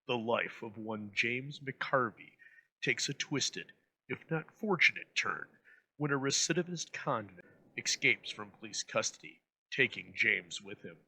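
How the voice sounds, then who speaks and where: A man's voice: medium pitch, expressive, measured pace, creaky, bright, forceful tone, breathy edge, low-quality recording. A man presenting an episode in scripted narration with a dramatic, florid storyteller's delivery.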